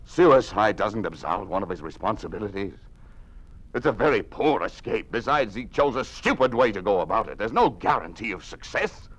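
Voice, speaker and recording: Grim, low-voiced governor, old radio. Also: male voice, animated, normal speed, a bit bright, breathy edge, lo-fi sound. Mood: scornful, agitated. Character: grim, secretive governor plotting his escape. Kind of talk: old-time radio drama acting. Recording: noisy old radio drama recording.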